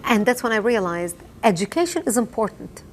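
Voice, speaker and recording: A woman's voice: medium pitch, expressive, a little fast, soft background music. A middle-aged woman from Lebanon whose first language is Arabic, speaking emphatically as an interview guest and repeating phrases for effect. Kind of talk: impassioned testimony.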